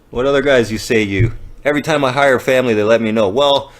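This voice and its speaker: A man with a Canadian accent, rambling and joking as he hosts a live giveaway. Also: mid-range voice. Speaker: business owner hosting a live giveaway. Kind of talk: casual livestream chat.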